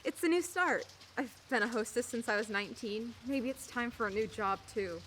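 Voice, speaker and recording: A woman's voice: a little high, moderate pace, fairly bright tone, a little hiss or hum, natural voice. A woman playing a chatty, laughing divorced neighbor. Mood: candid. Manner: chatty, laughing often, self-mocking. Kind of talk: radio play acting.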